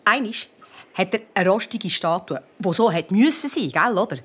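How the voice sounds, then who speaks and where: An actress with a Swiss German accent playing a chatty, dismissive museum director in a children's detective radio play. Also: female voice, medium pitch, expressive, moderate pace, slightly rough. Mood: amused, confiding. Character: female museum director, dismissive, confiding, easily indignant.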